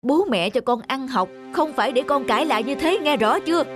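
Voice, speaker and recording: A woman's voice: sits high, expressive, brisk, over music. A woman voicing a stern, domineering middle-aged father in a cartoon. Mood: angry. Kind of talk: cartoon dub acting.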